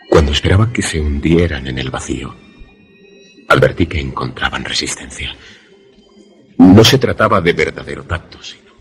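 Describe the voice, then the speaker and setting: A man plays the troubled male narrator of an eerie radio drama. His voice is extremely deep, and he tells the story gravely, in measured literary phrases. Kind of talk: first-person dramatic narration, suspense radio play.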